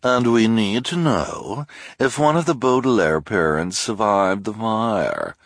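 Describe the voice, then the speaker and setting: A man's voice: fairly low pitch, very animated, takes it slowly, no room sound, studio quality, pitched above the performer's own voice, full character voice. A man voicing a demanding, jealous and haughty woman with a petulant manner in an audiobook.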